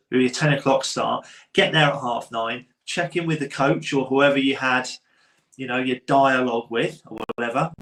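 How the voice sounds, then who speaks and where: A man's voice: mid-range voice, a bit bright. A man co-hosting a sports podcast in loose, casual chat, laughing often. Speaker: podcast co-host. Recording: roomy echo.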